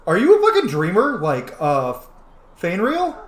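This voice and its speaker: Animated man commentating on a game, roomy sound. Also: high voice, a little slow. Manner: jokey, swears casually. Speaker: game streamer. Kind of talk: casual roleplay gameplay commentary.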